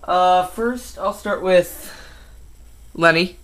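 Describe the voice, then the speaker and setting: A man's voice: high voice, drawn-out words, fairly bright tone. Rambling fifteen-year-old boy vlogger. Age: teens. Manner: rambling, with many ums and self-corrections. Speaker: toy collector vlogger. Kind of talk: casual collection update vlog.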